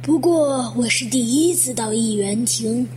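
A woman playing a polite, quietly insistent elderly widow in a Chinese audio drama, speaking with measured courtesy. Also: on the high side, a little hiss or hum, close to an everyday voice. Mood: polite, guarded. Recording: guitar music under the voice.

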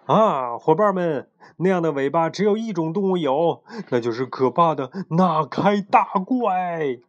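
A man's voice: fairly high pitch, animated, measured pace, lo-fi sound, pitched above the performer's own voice. A man voicing a boastful cartoon sea adventurer who tells spooky monster tales with vivid drama. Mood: dramatic, ominous.

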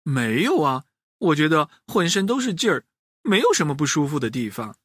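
A man voicing a cheerful mother hen in a children's story, answering a doctor in a raised, bright voice. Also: fairly high pitch, sing-song, normal speed, husky, no room sound, studio quality, exaggerated voice.

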